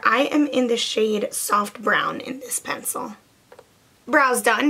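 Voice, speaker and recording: Hoarse, raspy young woman, bright tone. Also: fairly high pitch. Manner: chatty and upbeat, many "like" fillers. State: hoarse from lost voice. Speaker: beauty vlogger. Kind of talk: casual makeup tutorial chat.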